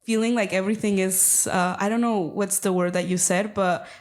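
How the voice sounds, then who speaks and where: A woman's voice: mid-range voice, fairly even intonation, normal speed. Hesitant woman. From Mexico. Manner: hesitant, many fillers and restarts. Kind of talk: casual chat.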